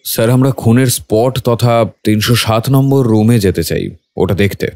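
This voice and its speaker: Curt probing detective played by a man, mid-pitched. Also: moderate pace, darkish tone, close to an everyday voice. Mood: firm, curt. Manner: curt, questioning, mixes in English phrases. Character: brisk, probing male police detective, authoritative and guarded. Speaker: voice actor in an audio detective drama. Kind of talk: acted dialogue with background music.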